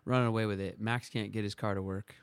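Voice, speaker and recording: A man's voice: fairly low pitch, steady tone, fast talker, clean tone, dry recording. A young man chatting casually on a podcast, rambling and laughing often. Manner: rambling, laughs often, does silly voices. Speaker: podcast co-host. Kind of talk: casual chat about motor racing.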